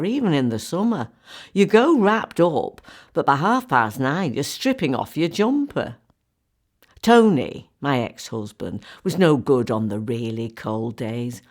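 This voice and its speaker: An actress playing a thrifty, cheerful middle-aged British car boot seller in a comedy radio poem, chatting and confiding in rhyme. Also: female voice, deep voice, a little mellow, heavily acted. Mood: matter-of-fact, wry, then wry grumbling. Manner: chatty, confiding, rhyming storytelling.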